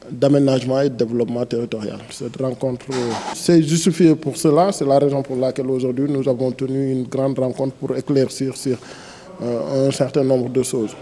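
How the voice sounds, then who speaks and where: Mellow, hesitant Senegalese man. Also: mid-range voice. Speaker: director general of a planning agency. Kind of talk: explanatory press interview.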